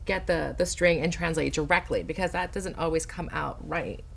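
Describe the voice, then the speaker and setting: A woman hosting an interview, enthusiastic and effusive, quick to affirm her guest. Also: low-pitched, gravelly, slight background noise. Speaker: interviewer host. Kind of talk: interview chat.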